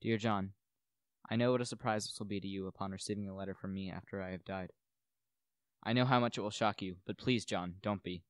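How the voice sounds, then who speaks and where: A man's voice: sits low, steady tone, fairly quick, clean tone, no echo, studio quality, natural voice. A young man playing a bitter, gloating widower who poisoned his wife, in a theatrical, brooding radio play monologue. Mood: shaken, wary. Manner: melodramatic, brooding, declamatory.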